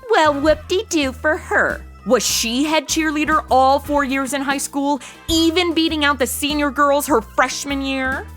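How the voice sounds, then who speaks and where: A woman's voice: sits high, a little slow, hardly any pauses, a bit bright, faint music behind. A woman playing a brash, jealous, catty young American woman in a comedy audio drama, sassy and slangy. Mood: catty, indignant. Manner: sassy, sarcastic, modern slangy talk.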